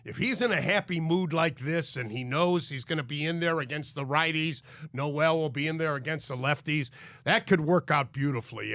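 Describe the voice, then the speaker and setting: A man hosting a sports talk show, congested from a cold, talking in an energetic, emphatic way. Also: on the high side, no room sound, clean studio sound. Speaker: sports radio host.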